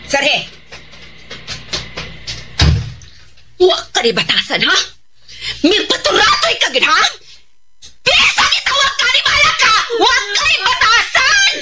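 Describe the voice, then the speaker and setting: An actress with a Filipino accent playing an angry, haughty, class-proud mother in a radio drama, in a shrill, scolding voice. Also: very animated, normal speed, noisy background. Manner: scolding, forceful, melodramatic.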